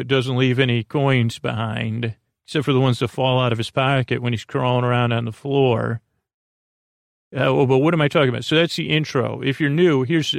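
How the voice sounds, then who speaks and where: A man with a gravelly, creaky voice, recorded dry and close. He rambles through a casual bedtime monologue as a podcast host. He halts, digresses and restarts mid-thought in a reassuring way. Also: mid-range voice, no room sound.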